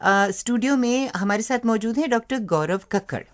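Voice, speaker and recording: A woman's voice: a little high, moderate pace. A woman co-hosting a radio show, chatting to listeners and her partner. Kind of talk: scripted radio presenting.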